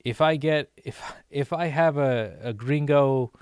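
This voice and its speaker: A man's voice: medium pitch, a little slow, a little mellow, clean tone, dry recording, studio quality. A young American man rambling casually to his viewers, with many restarts and fillers. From United States. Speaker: language-learning vlogger.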